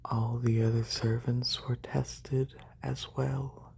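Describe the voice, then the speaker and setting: A man playing a haughty, wealthy lamia noble in a soft, breathy ASMR whisper, slow and deliberate, close to a binaural microphone. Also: medium pitch, level tone, full character voice. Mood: composed, condescending.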